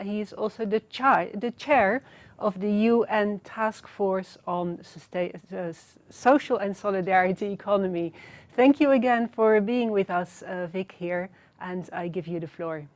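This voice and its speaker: A woman acts as host of a formal conference session, introducing proceedings and speakers. Her voice sits at a middle pitch and is recorded dry, with very little room sound. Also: no room sound. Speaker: event host introducing the moderator.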